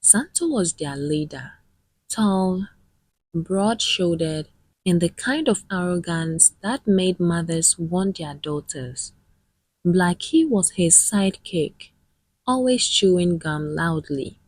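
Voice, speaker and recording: Her voice is fairly low and husky, and she takes her time. She narrates a scripted folktale with solemn, measured phrasing, leaving dramatic pauses between short clauses. She is a woman storyteller working through her tale line by line. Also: lively intonation, a little mellow, no echo.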